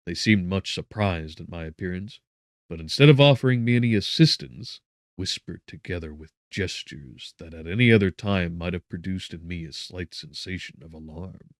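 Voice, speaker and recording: Very deep-voiced man narrating gothic horror. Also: normal speed, no room sound, clean studio sound. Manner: theatrical, solemn, with strong swells and drops in volume. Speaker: audiobook narrator. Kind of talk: dramatic scripted narration of a gothic novel.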